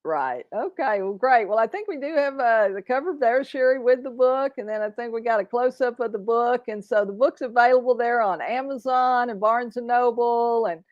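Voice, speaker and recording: A woman's voice: fairly high pitch, moderate pace, hardly any pauses, darkish tone, dry recording. A woman hosting an interview podcast, chatty and affirming, running long sentences together over a video call. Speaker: podcast host. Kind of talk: casual interview chat. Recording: dry, dull lo-fi sound.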